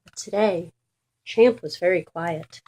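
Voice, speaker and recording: A woman reading a children's storybook aloud in an expressive, deliberate and emphatic way for young listeners. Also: on the low side, slight background noise. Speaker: children's book reader.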